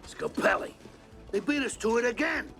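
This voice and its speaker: High-voiced, angry movie tough guy. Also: moderate pace, a bit bright. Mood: frustrated. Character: angry, aggressive tough-guy film character. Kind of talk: live-action movie dialogue. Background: music behind film dialogue.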